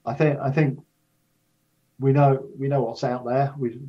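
Older man, husky voice, dull audio. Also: medium pitch, flat delivery. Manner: measured, careful explaining with hedged, restarted phrases. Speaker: interview guest, astrophysics professor. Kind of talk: unscripted expert interview.

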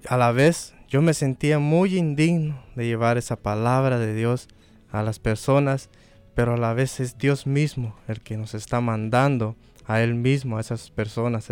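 A man's voice: medium pitch, a little mellow, clear, resonant tone. A man from Guatemala giving a sincere, reflective missionary testimony. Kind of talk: heartfelt testimony.

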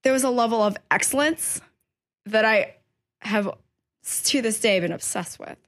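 A woman in her thirties, born in China and raised in New York, chatting as a painter guest on a podcast, animated, laughing often and restarting her sentences. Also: on the high side, moderate pace. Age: 30s. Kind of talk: casual interview chat. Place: painting studio indoors.

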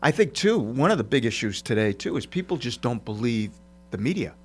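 A man's voice: mid-range voice, big pitch swings, fairly quick, husky. Easygoing male co-host telling anecdotes. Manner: conversational, anecdotal, lightly joking. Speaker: talk show co-host. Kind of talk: casual talk show discussion.

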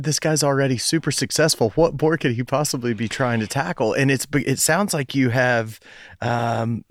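A man hosts an interview, framing long questions and backing his guest with steady murmured agreement. His voice sits in a middle range. The recording is dry and close. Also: medium pitch, no echo. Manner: encouraging, frequent "mm" backchannels. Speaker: interview host. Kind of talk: podcast interview.

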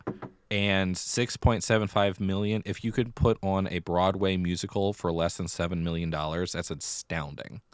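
A man with a very low voice, recorded dry with hardly any room sound. He talks casually as a podcast co-host, leaning on his points with emphasis. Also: fairly even intonation, moderate pace, clear, resonant tone, no echo. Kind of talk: casual chat about musical theatre.

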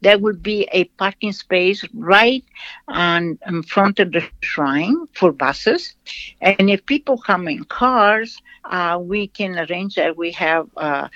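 A woman from Brazil with a Brazilian accent, a nun answering interview questions with stories, in a halting way that repeats phrases. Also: lowish voice, a bit bright, no room sound. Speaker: nun, shrine representative. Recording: dull lo-fi sound, dry.

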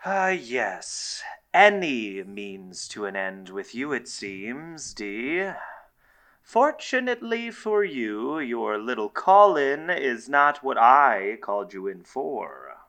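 Male actor, pompous doctor, secretive schemer, formal diction, slow drawn-out delivery, highly animated pitch, audio drama. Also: full character voice. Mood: haughty reproach.

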